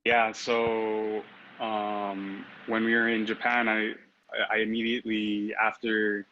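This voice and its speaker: A man's voice: on the low side, flat delivery, takes it slowly, sharp tone, dull audio, a little hiss or hum. A man, raised in Los Angeles, chatting as a podcast guest, hesitant with many fillers and restarts. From Philippines, raised in Los Angeles. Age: 30s. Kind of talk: casual interview chat.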